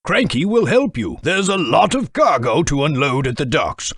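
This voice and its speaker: A brisk, kindly older railway boss for a children's game, guiding the player with encouraging orders. His voice sits a touch high and swoops widely in pitch, with a husky edge. It is recorded dry and close in a studio. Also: sing-song, no room sound, heavily acted. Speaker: game guide giving instructions. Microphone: studio microphone.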